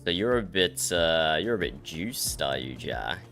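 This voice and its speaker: A man hosting a livestream, croaky from just waking up, rambling and correcting himself as he chats and teases his guest. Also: a bass voice, sing-song, a little slow. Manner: rambling, self-correcting, teasing. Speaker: streamer host. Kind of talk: casual livestream chat. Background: faint background music.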